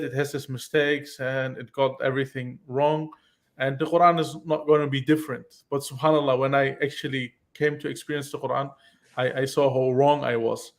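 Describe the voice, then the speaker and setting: A man explaining earnestly on a call-in talk show. He speaks in halting bursts with many restarts. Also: mid-range voice. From Iran, raised in Germany. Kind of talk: casual explanatory chat.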